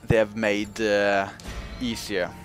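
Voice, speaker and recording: A man's voice: little pitch movement, slow, music in the background. Chatty man narrating game footage. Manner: chatty, many fillers, pitch jumps up when joking. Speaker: gaming video host. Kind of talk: casual game commentary.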